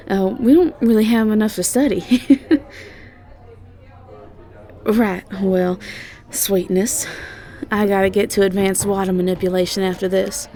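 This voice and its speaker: A woman's voice: mid-range voice, vocal fry, warm tone. A woman playing a sweet, ditzy young siren student with a Southern US accent, talking in a hesitant, rambling, casual way for an audio drama. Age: young adult.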